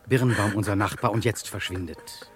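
An actor playing a methodical police detective in a German crime radio play, terse and commanding. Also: male voice, fairly low pitch, moderate pace, over music, close to an everyday voice. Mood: commanding, sober. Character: methodical, insistent male police detective leading a murder case.

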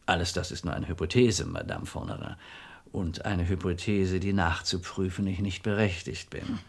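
A low-pitched, gruff commissioner in a seventies radio crime play. He is shrewd and blunt, lecturing deliberately and with emphasis, his patience worn thin. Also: expressive, full character voice. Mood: stern, deliberate. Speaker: actor. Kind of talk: 1970s German radio play acting.

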